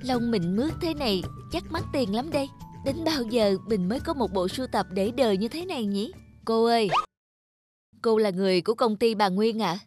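A woman's voice: a little high, moderate pace, darkish tone, faint music behind, close to an everyday voice. A woman playing an eager young female fashion designer in a cartoon, gushing and polite. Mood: admiring. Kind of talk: cartoon dubbing.

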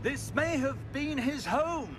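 An actor playing a calm, composed male wizard professor who guides a student in a video game. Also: extremely high pitch, takes it slowly, noisy background, heavily acted. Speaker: professor character.

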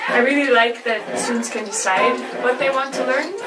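A young woman from the Netherlands, a native Dutch speaker, answering interview questions as a teacher in a relaxed, thoughtful way with some ums. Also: on the high side, normal speed, heavy background noise. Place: school studio set. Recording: roomy, distant mic with music.

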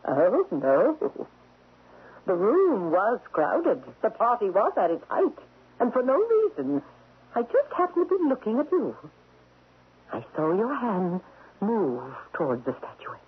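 An actress playing an imperious, wealthy widow in a radio drama, sharp and unyielding, with precise, haughty, formal diction. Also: female voice, fairly high pitch, big pitch swings, unhurried, slightly rough, heavily acted. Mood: coolly accusing. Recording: muffled lo-fi old radio broadcast.

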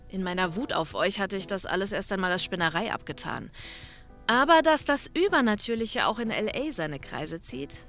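Female investigator, played by an actress: sharp-witted, confident, matter-of-fact, mid-range voice, comedy radio play. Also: medium pitch, lightly acted. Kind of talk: German comedy audio drama acting.

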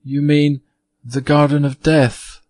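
A man reading aloud, voicing a gentle, earnest little girl in a raised, soft voice. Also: on the high side, level tone, slow pace, dry recording, pitched above the performer's own voice. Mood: hushed.

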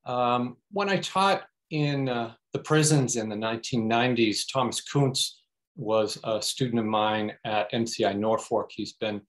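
A man giving an informal, halting introduction at an online open mic. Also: medium pitch. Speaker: poet and former prison teacher at open mic. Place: online video call.

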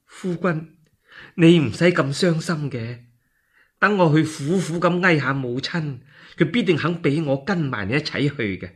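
A man voicing a gentle, devoted noble wife in a one-man radio drama, soft and consoling. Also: on the high side, animated, unhurried, slightly rough.

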